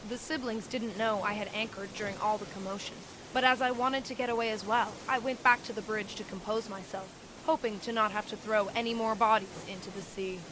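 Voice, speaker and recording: A woman playing a yacht captain who narrates an amateur murder mystery audio drama in a dramatic, measured storytelling way. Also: medium pitch, steady tone, noisy background, natural voice.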